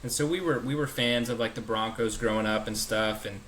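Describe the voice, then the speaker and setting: Bright-voiced man hosting a sports podcast. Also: lowish voice, fairly quick, a little hiss or hum, low-quality recording. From Wyoming, USA. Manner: eager, friendly questioner with many restarts. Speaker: podcast host. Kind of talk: casual podcast interview.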